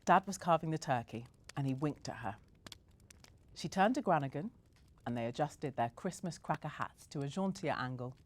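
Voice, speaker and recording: A woman narrates a storybook in a gentle, measured manner. Her voice sits low for a woman. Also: expressive. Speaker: story reader.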